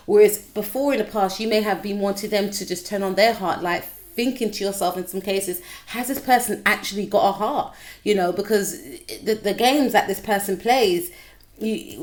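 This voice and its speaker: Young woman reading tarot cards, kinda croaky, chatty, mid pitch, keeps checking in. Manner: chatty, repetitive, addresses viewer directly. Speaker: tarot reader. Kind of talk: casual tarot reading to camera.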